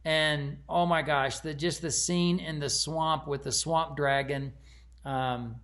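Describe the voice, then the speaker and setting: A man's voice: fairly high pitch, steady tone, takes it slowly, fairly bright tone. A man speaking as an author in casual, conversational commentary.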